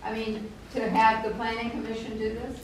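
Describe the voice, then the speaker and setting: A woman's voice: a little high, completely flat delivery, normal speed, a little hiss or hum. Woman with a big voice in an echoey hall. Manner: forceful, says she has a big voice. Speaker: council member. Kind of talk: public meeting remarks. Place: council meeting room. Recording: echoey hall picked up from far off.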